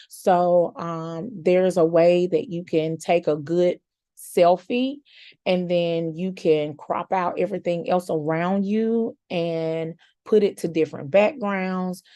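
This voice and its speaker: A woman with a slightly hoarse, raspy voice, coaching her audience in a chatty, encouraging way with informal slang. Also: fairly low pitch, fairly even intonation, slow pace, dark tone, no echo. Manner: chatty, encouraging, repeats words for emphasis. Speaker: content coach. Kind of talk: casual coaching talk.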